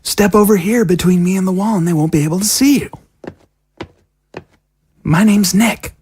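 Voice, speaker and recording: A woman playing a youthful zombie prisoner, frank and low-key, talking casually in an audio drama. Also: normal speed, a little mellow, breathy edge, close to an everyday voice. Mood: wary. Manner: casual, matter-of-fact.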